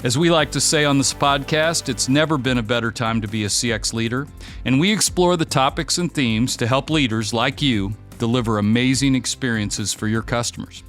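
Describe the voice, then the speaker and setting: A man's voice: mid-range voice, normal speed, a bit bright, soft background music. A man in his fifties hosting a podcast, reading a polished intro and then chatting with his guest in a friendly way. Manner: friendly, polished host delivery. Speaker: podcast host. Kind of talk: scripted intro, then interview chat.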